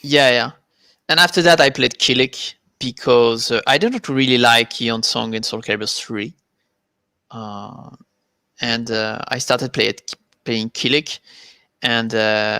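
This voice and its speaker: A man from France with a French accent, a competitive player giving a halting, filler-filled account as a guest on a live video-call interview. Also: mid-range voice, creaky, sharp tone, no room sound. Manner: halting, many fillers and repeated words.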